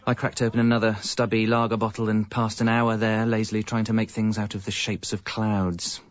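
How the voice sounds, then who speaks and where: A man's voice: on the low side, little pitch movement, fairly quick, dull audio, close to an everyday voice. An English man playing a hapless, evasive young father, narrating and talking in a radio comedy with a hesitant, wry manner. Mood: wry, anxious. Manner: hesitant, self-justifying, wry. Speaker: narrator and lead character.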